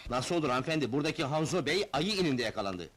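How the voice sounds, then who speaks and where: An actor playing a formal but flustered hospital doctor in a comedy film. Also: male voice, a little high, expressive, very fast, heavy background noise, natural voice. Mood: polite.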